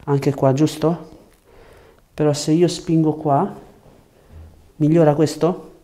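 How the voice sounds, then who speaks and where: A young man with a mellow, slightly high voice talks as a chiropractor at work. He gives short, reassuring directions and explains each step, recorded on a lapel mic in a small room. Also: flat delivery, slow pace. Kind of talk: hands-on treatment talk, explaining to patient and viewers. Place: small treatment room, indoors.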